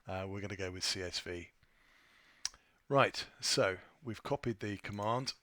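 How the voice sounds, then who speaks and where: A man presenting an informal software tutorial, thinking aloud in a somewhat hesitant way as he demonstrates. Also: lowish voice, unhurried. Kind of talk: informal screen-share walkthrough.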